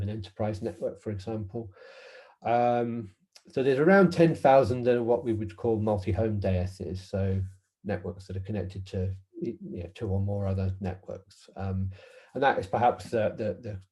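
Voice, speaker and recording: A man's voice: fairly low pitch, mellow. A man giving an informal technical presentation as a workshop presenter, explaining in a hesitant, hedging way.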